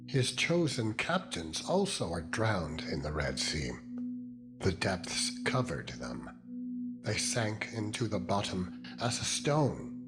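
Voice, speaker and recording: A man reads King James scripture as a dramatized narration, in a solemn, measured manner with deliberate pauses. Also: sits low. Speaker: Bible reader, narrator. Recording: loud music under the voice. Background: loud music.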